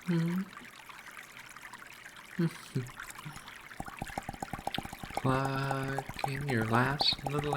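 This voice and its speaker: A performer voicing a gentle, affectionate furry female alien researcher in an ASMR roleplay, soft-spoken and soothing, with unhurried pauses. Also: mid-range voice, background noise.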